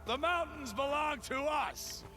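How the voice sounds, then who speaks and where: An actor playing a defiant, furious mountain bandit in a video game, shouting taunts. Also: male voice, very high-pitched, a little slow, over music. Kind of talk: dramatic video game acting.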